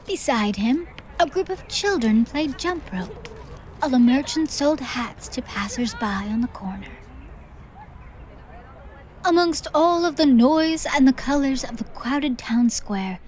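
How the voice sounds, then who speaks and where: A woman's voice: on the high side, darkish tone, rough recording. A woman reading scripted fantasy storybook narration as an audiobook narrator.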